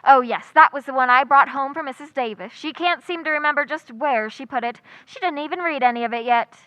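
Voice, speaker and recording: A woman acting a wry, quick-witted schoolteacher in old-time radio comedy, full of dry, sarcastic wisecracks. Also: high-pitched, bright, forceful tone, no echo, lo-fi sound. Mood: polite, wry.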